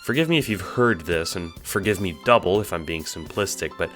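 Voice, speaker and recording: A man plays a casual young hero and narrator in an audio drama. The delivery is wry and expressive, with lively swings in pitch. Music plays behind the voice. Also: a little fast. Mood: chatty, musing. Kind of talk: audio drama acting and second-person narration.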